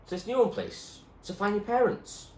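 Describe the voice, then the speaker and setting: A man's voice: high-pitched, moderate pace, a little mellow, voice pushed up, close to an everyday voice. A man playing an eager, loyal boy in a storybook reading, coaxing a friend in a matter-of-fact way. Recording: echoey room with clear background noise.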